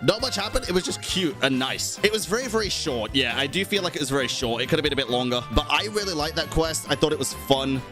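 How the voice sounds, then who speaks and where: Male streamer host, somewhat higher-pitched, chatty and emphatic, casual swearing, faint music behind. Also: animated, fast, few pauses. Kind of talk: casual commentary to a live chat.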